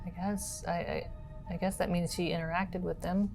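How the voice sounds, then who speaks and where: A woman acts out a young girl stranded on a spaceship in a tabletop game. The voice is low, solemn and hesitant, over faint music. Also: flat delivery, moderate pace, creaky, darkish tone. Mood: hesitant, matter-of-fact.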